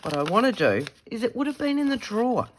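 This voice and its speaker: An Australian woman with a croaky head-cold voice, chatting casually as she demonstrates a craft at her desk, thinking aloud and correcting her own words. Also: on the high side, very animated, quick pace, a little hiss or hum. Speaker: craft tutorial host.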